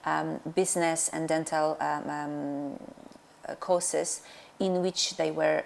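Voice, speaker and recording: A woman's voice: low voice, level tone, takes it slowly, creaky, a little hiss or hum. A middle-aged woman from Poland with a Polish accent, a dentist answering interview questions hesitantly, with many fillers and restarts. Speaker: dentist and practice owner.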